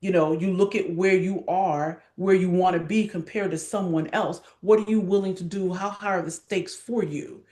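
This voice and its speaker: A woman's voice: lowish voice, steady tone, normal speed, few pauses, mellow. A middle-aged woman talking casually as an acting coach in an interview, emphatic and passionate, repeating words and posing rhetorical questions.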